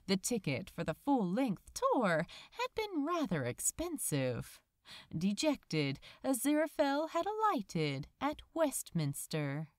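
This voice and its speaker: A woman reading fiction aloud as a dramatic audiobook narration, with an expressive, lingering delivery. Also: mid-range voice, dry recording, studio quality.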